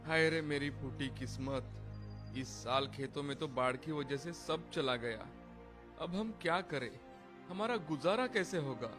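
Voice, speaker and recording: A man's voice: a little high, a little slow, music in the background, lightly acted. A man playing a worried, poor village farmer and father in a dramatized folk story. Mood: despairing. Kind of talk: dramatized Hindi story dialogue.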